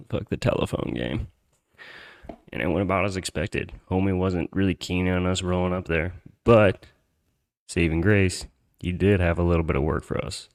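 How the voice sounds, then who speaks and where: A man's voice: deep voice, gravelly. A man hosting a podcast, telling a personal story in a casual, slangy, conversational way with some swearing.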